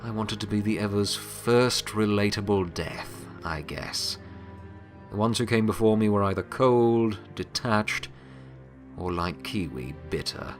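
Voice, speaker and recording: A man acts out a pony who has become Death, weary and wry in an audio drama. His voice sits low and he confides haltingly, full of reflection and remorse. Music plays clearly behind him. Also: lightly acted. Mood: reflective, confessional. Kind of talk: dramatic audio fiction monologue.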